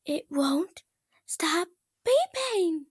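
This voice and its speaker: A woman's voice: extremely high pitch, big pitch swings, drawn-out words, dry recording, clean studio sound, pitched above the performer's own voice, exaggerated voice. A woman voicing an enraged young pony princess, ranting in a melodramatic, exasperated way in an amateur story reading. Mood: exasperated fury. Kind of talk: amateur fan fiction character reading.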